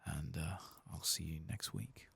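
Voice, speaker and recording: A man giving soft-spoken, partly whispered ASMR commentary on a video game, muttering hesitantly as he thinks aloud. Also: low voice, a little slow, gravelly, husky. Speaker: host of a video game playthrough channel.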